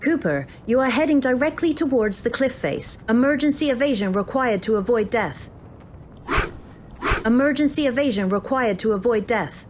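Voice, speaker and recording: An actress playing a calm, detached female game AI, giving clipped instructions and warnings in a video game parody drama. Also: on the high side, normal speed, slight background noise, lightly acted. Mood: calm, instructive. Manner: flat, clipped announcements and instructions.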